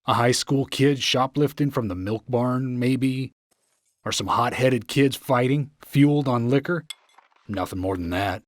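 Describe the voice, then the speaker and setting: A man's voice: mid-range voice, measured pace, no room sound, clean studio sound, close to an everyday voice. A man playing a seasoned, no-nonsense small-town sheriff in a video game, middle-aged, with a plain-spoken, businesslike manner. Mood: sober, reflective. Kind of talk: video game cutscene acting.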